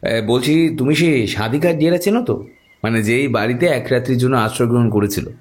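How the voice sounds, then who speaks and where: A man acting a calm, authoritative tantric holy man in a horror audio drama, giving instructions. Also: medium pitch, brisk, pitched above the performer's own voice, close to an everyday voice. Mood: calm, instructing.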